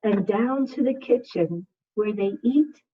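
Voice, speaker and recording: A woman teacher speaking to young children in a read-aloud style, with measured, simple phrasing over a video call. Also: medium pitch, animated, breathy edge. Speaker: second grade teacher. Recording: muffled, phone-like video call audio.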